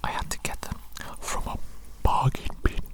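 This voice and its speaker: A woman performing an ASMR roleplay as a grandiose, scheming evil scientist, whispering theatrically and repeating her phrases. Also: moderate pace. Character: grandiose evil mad scientist doctor, scheming and self-important.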